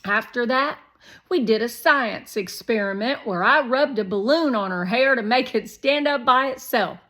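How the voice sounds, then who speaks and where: A woman voicing a loud, pushy, energetic young boy in a children's storybook, blurting things out eagerly. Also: a little high, moderate pace, voice pushed up. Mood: eager chatter. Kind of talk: children's storybook read-aloud.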